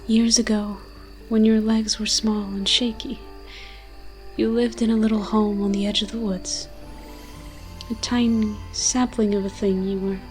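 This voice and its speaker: Woman as ancient hulking forest demon, very slow measured delivery, even subdued pitch, solemn and tender, poetic audio roleplay, music behind. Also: lightly acted. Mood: fond, nostalgic. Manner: solemn, measured, archaic storyteller phrasing.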